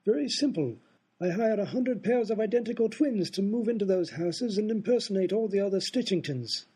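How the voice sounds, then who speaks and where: A man's voice: high-pitched, lively intonation, brisk, warm tone, slightly rough. An actor playing a smug, scheming aristocrat in a comedic radio play, explaining his prank at length.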